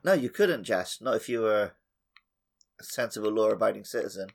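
A man's voice: fairly low pitch, expressive, fairly quick, vocal fry. A man co-hosting a gaming podcast, bantering casually in a wry, joking manner. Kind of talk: casual banter about a video game.

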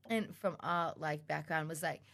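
A woman's voice: deep voice, moderate pace, gravelly. A young woman, a student co-hosting a podcast, talking casually in a giggly, rambling way. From Australia. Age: young adult. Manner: giggly, rambling, animated storytelling. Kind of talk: casual chat.

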